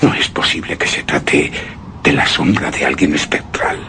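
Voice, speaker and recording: An actor playing a haunted, anguished husband who narrates a gothic horror tale in a radio drama, with heavy dramatic pauses. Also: male voice, a bass voice, sharp tone, a little hiss or hum, exaggerated voice. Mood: fearful, bewildered. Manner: slow, brooding, dramatic delivery with heavy pauses.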